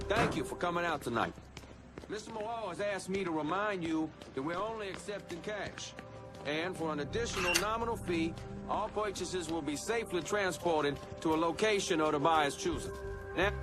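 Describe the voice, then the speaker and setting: An actor playing a smug, ceremonious auctioneer in a video game, talking in formal, showy patter. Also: male voice, high voice, lightly acted. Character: smug, ceremonious male auctioneer selling human captives.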